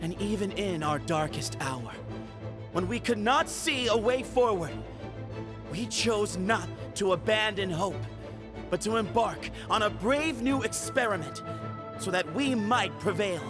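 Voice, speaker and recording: A man's voice: sits high, animated, measured pace, over music. A man playing a confident, earnest young heir and challenger in a video game, self-assured and solemn. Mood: solemn, resolute.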